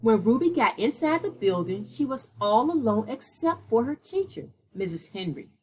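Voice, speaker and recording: A woman with a slightly husky voice reading a children's picture book aloud in a measured, deliberate way. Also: on the high side, sing-song, darkish tone, a little hiss or hum, low-quality recording. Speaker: read-aloud storyteller.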